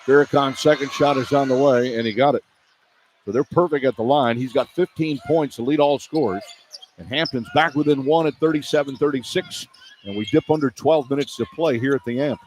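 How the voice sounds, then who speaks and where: A man's voice: mid-range voice, fairly quick, darkish tone. A man working as a radio play-by-play announcer, calling a live basketball game from an indoor arena. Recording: clear arena ambience noise. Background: arena ambience.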